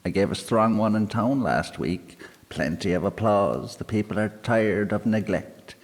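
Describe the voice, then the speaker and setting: A man's voice: on the low side, a little slow, pitched above the performer's own voice. A man voicing a proud, boastful politician in his forties, holding forth in a pub. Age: 40s. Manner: pompous, boastful, self-satisfied. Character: proud middle-aged male politician, barrister. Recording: long hall reverb.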